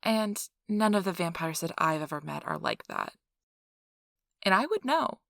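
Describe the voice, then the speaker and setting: A woman's voice: fairly low pitch, very animated, normal speed, gravelly, fairly bright tone, no echo, studio quality, exaggerated voice. A woman playing a chatty, officious and reassuring interviewer at a vampire blood donation center in an ASMR role-play. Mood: gossipy, defensive.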